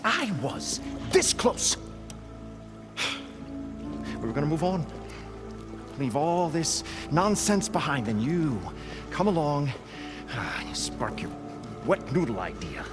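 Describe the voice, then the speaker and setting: Grumpy tired money guy in a game, slow talker, sardonic, loud music behind. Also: on the high side, very animated. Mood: bitter, exasperated. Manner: sardonic, sighing, exasperated. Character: weary, grumbling male moneyman of a showmen's troupe. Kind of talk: video game dialogue acting.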